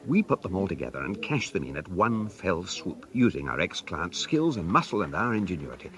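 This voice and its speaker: A man playing a middle-aged English gentleman turned schemer in a comic radio play, theatrical and persuasive. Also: sits low, sing-song, fairly quick. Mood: conspiratorial.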